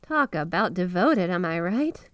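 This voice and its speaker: A woman's voice: fairly low pitch, big pitch swings, no echo. Woman acting a gushing, obsessively devoted yandere wife, close-miked. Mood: smug, playful. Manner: gushing, breathy giggles, drawn-out emphasis on words. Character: flirty, obsessively devoted yandere wife with magic snow powers. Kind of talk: intimate ASMR roleplay monologue to the listener.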